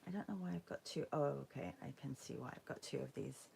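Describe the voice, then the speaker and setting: A woman with a hoarse, croaky voice after a concert, chatting casually with viewers as she hosts an art livestream. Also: very deep voice, fast talker, warm tone, slight background noise. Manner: chatty, greets viewers by name. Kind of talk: casual livestream chat while painting.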